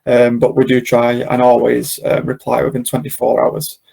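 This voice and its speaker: A man presenting a live software demo and question session, explaining step by step in an informal, hesitant way. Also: medium pitch, completely flat delivery, moderate pace, vocal fry, slightly rough. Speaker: webinar presenter and software demonstrator.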